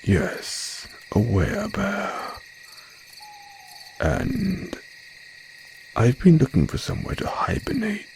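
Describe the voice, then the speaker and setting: A man voicing a haughty, courteous werebear with predatory charm, in an audio roleplay, speaking in a drawn-out, genteel, condescending way. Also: on the high side, huge pitch swings, gravelly, darkish tone, slight background noise, full character voice. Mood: suave, reassuring. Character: smooth, haughty male werebear, courteous yet predatory flirt. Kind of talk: audio roleplay monologue addressed to listener.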